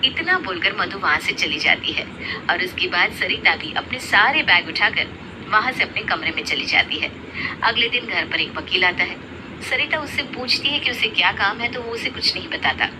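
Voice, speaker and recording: A woman's voice: medium pitch, animated, moderate pace. Female storyteller for scripted moral tales, narration style, noisy recording.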